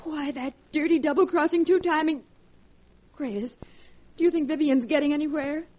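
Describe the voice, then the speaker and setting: An actress playing an excitable, spiteful young woman in melodramatic 1940s radio style. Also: moderate pace, natural voice. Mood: outraged, urgent. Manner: agitated, shrill, melodramatic.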